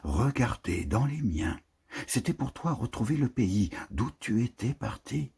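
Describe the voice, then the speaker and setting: A very husky, breathy man reads literary prose poetry. His delivery is slow and solemn, with deliberate phrasing and a pitch that swings widely as he declaims. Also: big pitch swings, a little mellow. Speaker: reader of a prose poem.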